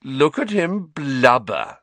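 A man's voice: medium pitch, huge pitch swings, a little slow, creaky, no room sound, voice pushed up, heavily acted. Guy playing a snooty school bully drawling insults, dry close sound. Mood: sneering. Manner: drawling, derisive. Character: sneering teenage boy bully.

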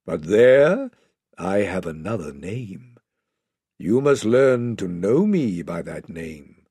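A man voicing a great lion in a storybook, gentle, solemn and majestic, speaking slowly and gravely in a soft, breathy low voice. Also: sing-song, dry recording, studio quality, full character voice. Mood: tender, grave.

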